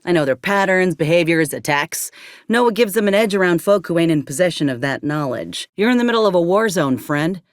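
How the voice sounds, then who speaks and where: Game companion: actress as plain-spoken female ex-army medic, wary and folksy, mid pitch with lively swings, close dry recording. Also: fairly quick, dry recording, lightly acted. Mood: wry, guarded. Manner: folksy, drawling frontier speech with ain't and folk. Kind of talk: video game character dialogue.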